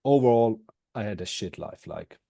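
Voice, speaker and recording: Man, twenties, from switzerland, low mellow voice, dry close sound, halting casual answers. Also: expressive, gravelly, no room sound. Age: 20s. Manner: halting, loose phrasing with frequent fillers and restarts. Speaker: guest, former student.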